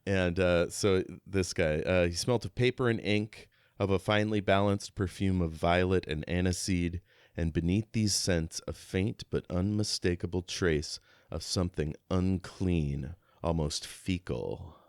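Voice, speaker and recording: Very deep-voiced man, halting and casual. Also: clear, resonant tone, no room sound, studio quality. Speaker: podcast co-host. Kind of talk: casual book discussion with read-aloud excerpts.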